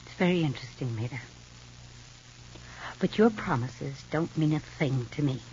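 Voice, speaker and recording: An American actress playing a bitter, jealous young woman with a husky voice and a sarcastic, cutting manner in an old radio soap. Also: low voice, lively intonation, moderate pace, warm tone, a little hiss or hum. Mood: cold, sarcastic. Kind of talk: 1950s radio soap acting. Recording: dull vintage radio recording.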